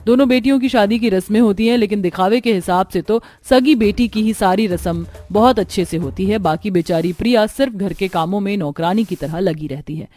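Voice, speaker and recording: A woman's voice: mid-range voice, brisk, hardly any pauses, dark tone, faint music behind. A woman narrator reading a scripted family story for a cartoon.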